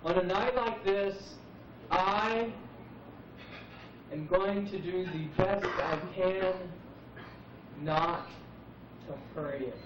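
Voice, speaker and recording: A man's voice: high-pitched, takes it slowly, long pauses, noisy background. Man musing, distant echoey muffled recording. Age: 40s. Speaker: poet speaking at an open mic. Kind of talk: live spoken-word musing. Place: live open mic venue, roomy.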